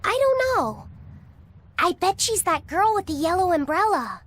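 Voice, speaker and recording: A woman's voice: extremely high pitch, very animated, a little slow, fairly bright tone, exaggerated voice. A woman voicing a shy, innocent young boy in an English anime game dub, boyish and earnest in manner. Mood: bashful, defensive.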